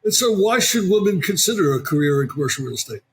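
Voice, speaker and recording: A man hosting an interview, asking formal questions in a polite, measured way. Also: high voice, sing-song, slight background noise. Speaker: interviewer, host.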